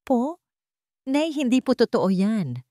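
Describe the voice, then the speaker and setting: A woman's voice: on the high side, sing-song, slow pace, mellow, dry recording, clean studio sound, voice pushed up. A woman playing a distressed young bride who speaks respectfully and earnestly to her mother, in a narrated love story. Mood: upset, pleading. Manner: respectful, pleading.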